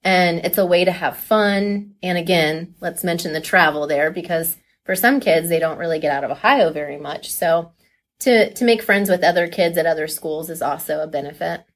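Earnest woman with a lowish voice. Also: normal speed. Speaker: teacher, forensics team coach. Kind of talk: casual interview answers.

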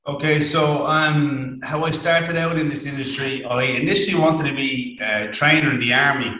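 A man's voice: mid-range voice, steady tone, normal speed, bright, forceful tone, roomy sound, poor audio. A man with an Irish accent, a fitness and nutrition coach, explaining his work plainly as a podcast guest from his clinic. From Ireland. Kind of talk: casual interview talk.

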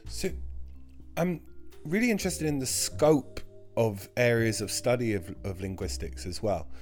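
A man's voice: mid-range voice, animated, unhurried, a little mellow, soft background music. A man interviewing a guest, asking long, hesitant questions full of "um" and "kind of". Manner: hesitant, lots of "um" and "kind of", restarts.

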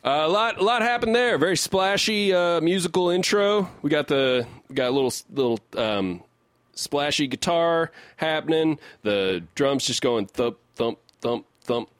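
A man co-hosting a casual comedy music podcast, riffing haltingly and repeating his words as he goes. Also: fairly high pitch, expressive, fairly bright tone, no echo. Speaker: podcast co-host.

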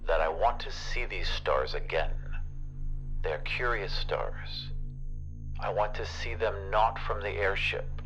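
An actor playing an earnest, restless son with a deliberate manner, heard through a radio filter in an audio drama. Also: male voice, fairly low pitch, a bit bright, soft background music, rough recording. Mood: earnest longing. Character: earnest, restless, queer-tempered son yearning for the stars. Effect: radio transmission filter. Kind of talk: audio drama character dialogue. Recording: band-limited radio-like sound.

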